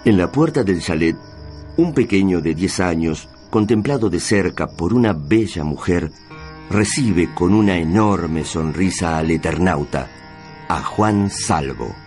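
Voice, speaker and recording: The sober narrator of a radio drama. His voice is deep, with a solemn scripted delivery. Music is clearly heard beneath it. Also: lively intonation, unhurried, a little mellow.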